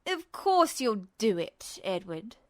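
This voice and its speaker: Cold, menacing man played by a woman narrator, lowered pitch, dispassionate and patronizing, smug story villain. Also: very animated, slow, dry recording. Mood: cold condescension. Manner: quiet, dispassionate, mockingly patronizing. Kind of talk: dramatized fan fiction reading.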